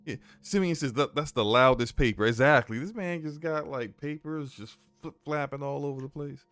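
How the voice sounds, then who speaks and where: A man with a deep voice chatting casually on a live game stream, flustered as he talks himself through tech trouble. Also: normal speed, a bit bright, soft background music.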